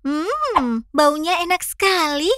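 A woman voicing a cheerful, eager young fox in a children's cartoon, animated and childlike as it addresses viewers. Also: very high-pitched, slow, no echo, studio quality, exaggerated voice. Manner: animated, childlike, clear instructing.

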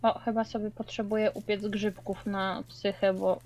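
A woman's voice: medium pitch, level tone, moderate pace, a little mellow. A woman chatting casually while gaming, slangy, dropping in English words and swearing. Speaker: co-player in a multiplayer game.

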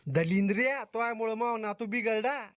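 A man's voice: sits high, normal speed, loud music behind the voice, close to an everyday voice. A man voicing a grumpy, blunt old village grandfather in a comic cartoon, with a Vidarbha Marathi accent. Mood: grumpy, scolding. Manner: blunt, disapproving, insulting.

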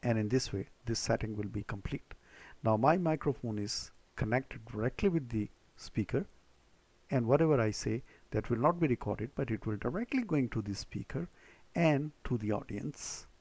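A man with a medium-pitched voice presents a how-to computer tutorial. He goes through it step by step, restating each instruction before the next. The recording is dry, with little room sound. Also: expressive, normal speed, darkish tone, slightly rough, no echo.

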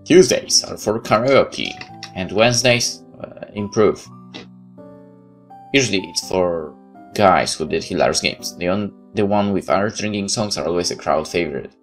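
Male let's play narrator, low pitch, expressive story reading with casual asides, game music behind. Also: unhurried. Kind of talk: reading a visual novel aloud with asides.

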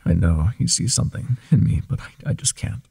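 Man acting a husky, low-voiced older boyfriend. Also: expressive, brisk, dark tone, exaggerated voice. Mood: tearful, self-doubting. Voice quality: husky, breathy. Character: older ex-mafia boyfriend, wry, tender, self-doubting man. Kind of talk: intimate boyfriend roleplay audio acting.

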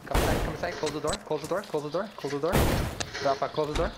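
Excitable man gaming over noisy background. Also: a little high, fast talker. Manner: excitable, repetitive, foul-mouthed. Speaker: video game player. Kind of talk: casual gaming chat with friends.

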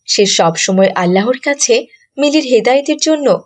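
A woman's voice: a little high, animated, normal speed. A woman giving scripted storybook narration for a cartoon.

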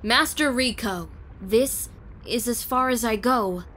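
A woman's voice: a little high, slow, a bit bright. A woman voicing a tender, devoted young female character in an amateur manga dub, hesitant and emotive. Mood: tearful, tender. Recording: clear background noise and ambience.

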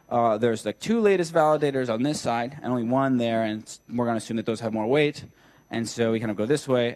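A man's voice: medium pitch, a little mellow. A young man giving a technical talk at a meetup, thinking aloud with many fillers and restarts while he draws on a flip chart. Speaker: speaker at a tech meetup. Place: meetup room with a projector.

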